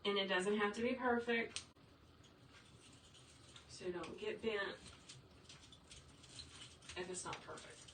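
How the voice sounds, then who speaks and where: Brief: upbeat, chatty woman craft teacher, mid pitch, mic set off from her in a reverberant workshop. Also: takes it slowly, pauses often. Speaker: wreath maker teaching a craft. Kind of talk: casual livestream tutorial reading viewer comments. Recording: roomy, distant sound with faint room noise.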